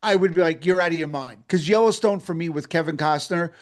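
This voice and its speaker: Male co-host, gushing and emphatic, voice sits a little high. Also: fairly quick. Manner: enthusiastic, emphatic, repeats himself. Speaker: podcast co-host. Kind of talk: casual chat.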